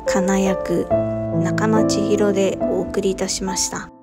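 A woman announces radio drama credits from a script. Her delivery is slow and her pitch is medium, with loud piano music running underneath. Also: level tone. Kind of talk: scripted title and credit reading.

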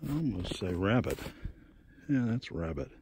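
Relaxed middle-aged man touring a snowy farm. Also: on the low side, moderate pace, vocal fry, noisy background. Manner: relaxed, folksy, chatty. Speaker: farmer giving a tour. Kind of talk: casual walking tour commentary. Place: outdoors on a snowy farm and woods.

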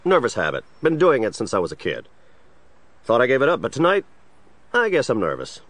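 An actor playing an eager, affable district attorney in a children's superhero audio drama. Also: male voice, mid-range voice, huge pitch swings, fairly quick, fairly bright tone. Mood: nervous, chatty.

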